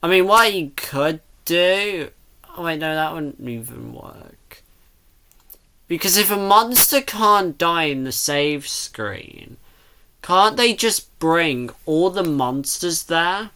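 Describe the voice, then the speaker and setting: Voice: medium pitch, animated, slow, pushed and bright, clean tone. Someone giving casual reaction commentary on a video, rambling and second-guessing as they think aloud. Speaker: reactor commenting on a fan comic dub.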